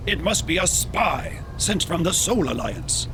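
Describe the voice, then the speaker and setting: Haughty alien station crewman, contemptuous of humans, slightly high voice, sci-fi drama with clear ship noise behind. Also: sing-song, a little slow, heavily acted. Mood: disdainful. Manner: pompous, disdainful, formal. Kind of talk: sci-fi audio drama acting.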